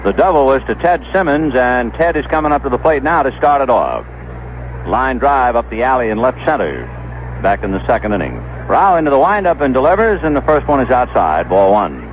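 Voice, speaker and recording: A man's voice: mid-range voice, very animated, brisk, highs cut off, a little hiss or hum. A man calling baseball play-by-play as a radio announcer, on an old 1970s broadcast. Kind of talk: radio sports commentary.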